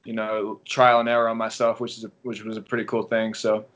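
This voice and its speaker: English coach in his thirties, bright-toned, chatty interview guest, lo-fi sound. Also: on the low side, brisk. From England. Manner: relaxed storytelling with many ums and restarts. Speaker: guest track and field coach. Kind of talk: casual podcast interview.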